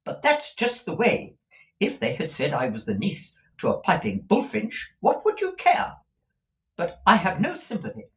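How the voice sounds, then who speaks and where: A man's voice: a little high, sing-song, unhurried, a bit bright, voice pushed up. A man doing a pompous, huffy mother. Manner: pompous, indignant, self-pitying. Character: vain, fussy middle-aged mother, easily offended.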